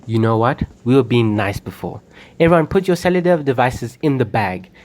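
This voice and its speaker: A curt, menacing armed robber, played by a young man, barking orders in radio drama style. The voice is mid-pitched, impatient and commanding. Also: animated, a little fast, natural voice. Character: threatening, impatient male armed bank robber. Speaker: student actor. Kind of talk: amateur radio drama acting.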